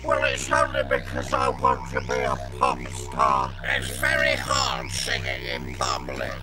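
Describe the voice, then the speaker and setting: A man voicing a childish, boastful, excitable puppet creature from children's TV, stammering and whining through electronic modulation. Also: very high-pitched, unhurried, gravelly, loud music behind the voice, exaggerated voice. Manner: stammering, whiny, blustering.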